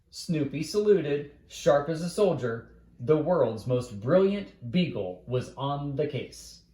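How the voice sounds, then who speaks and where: A man's voice: fairly high pitch, warm tone. A man reading a bedtime story aloud as a storyteller, in a roomy, echoing recording.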